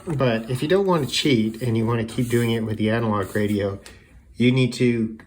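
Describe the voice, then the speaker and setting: A man's voice: mid-range voice, moderate pace, a little mellow. A man giving a casual, unscripted hobby demonstration, thinking aloud in a conversational way. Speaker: radio hobbyist and video maker. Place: indoors at a desk with a radio. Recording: noisy room with radio sound.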